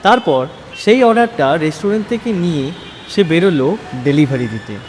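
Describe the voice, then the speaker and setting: A man's voice: on the high side, big pitch swings, fairly quick, darkish tone. A man narrating a tragic story in a dramatic, scripted storytelling style. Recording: noisy recording with long hall reverb.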